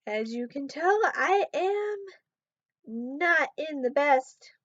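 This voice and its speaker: A sleep-deprived woman talks loosely to her camera, rambling and trailing off as her voice wears hoarse. Her voice sits a little high. The sound is dull and muffled, in a dead, dry room. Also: lively intonation, takes it slowly, no room sound. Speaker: vlogger. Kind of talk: casual talk to camera.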